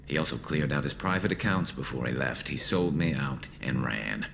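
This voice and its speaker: A haunted, vengeful alien mercenary in a video game. The voice sits extremely low with a heavy gravelly creak and a metallic flanged edge. The delivery is measured, dry and brooding, over faint background ambience. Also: male voice, lively intonation, a bit bright, a little hiss or hum, exaggerated voice. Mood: bitter, determined. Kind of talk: video game dialogue acting.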